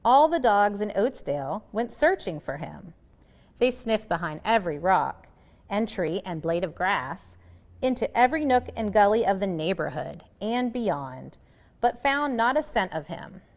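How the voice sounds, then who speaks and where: Female picture-book reader, animated and dramatic, with very varied intonation. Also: medium pitch, unhurried. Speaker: storybook reader. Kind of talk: expressive scripted narration of a children's picture book.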